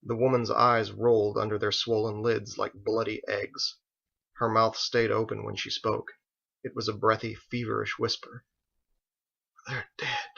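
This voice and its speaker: Male audiobook narrator, forceful and gravelly, reading a story on a dull lo-fi recording. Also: lowish voice, flat delivery, moderate pace. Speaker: author reading own novel aloud.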